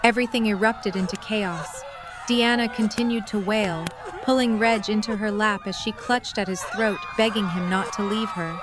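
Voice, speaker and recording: Female narrator for scripted fiction, medium-pitched, with light background ambience. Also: fairly even intonation, moderate pace, noisy background.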